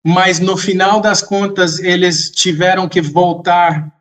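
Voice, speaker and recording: Slow, high-voiced man telling a story. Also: level tone. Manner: slow, measured, reflective, with occasional soft laughs. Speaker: podcast guest. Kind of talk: casual storytelling in an interview.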